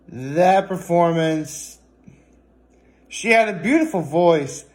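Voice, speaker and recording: A man chatting casually in a solo vlog, rambling and halting as he often corrects himself. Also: on the high side, darkish tone. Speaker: vlogger. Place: indoors at home, on a couch.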